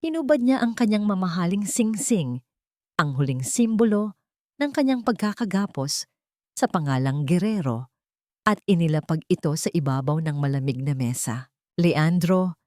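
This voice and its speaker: A woman reading a scripted romance drama as narrator, in a measured, solemn storytelling manner. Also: fairly low pitch, lively intonation, darkish tone, dry recording, studio quality.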